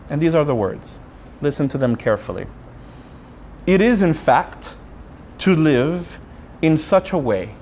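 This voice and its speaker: A man, a Spanish speaker, preaching a sermon as a friar with personal storytelling, in deliberate phrases broken by pauses. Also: mid-range voice, a little mellow, a little hiss or hum. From Puerto Rico. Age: 30s.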